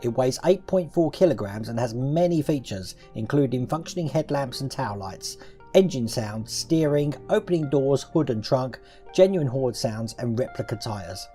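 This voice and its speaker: A man giving scripted narration for a promotional product video in an even, informational delivery. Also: medium pitch, normal speed, faint music behind.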